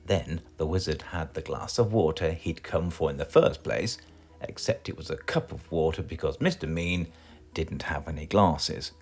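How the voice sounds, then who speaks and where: A man with a British accent reading a children's storybook aloud in a theatrical, expressive way. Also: very deep voice, moderate pace, husky, faint music behind. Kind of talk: animated children's storybook narration.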